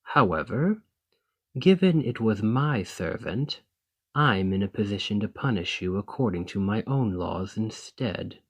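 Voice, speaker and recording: Man playing a slow, deep-voiced, composed noble. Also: animated, husky. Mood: grave, stern. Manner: formal, measured, deliberate, condescendingly sympathetic. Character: ambitious foreign noble ruler, composed, courteous, coolly persuasive. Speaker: roleplay audio performer. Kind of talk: scripted fantasy ASMR roleplay monologue.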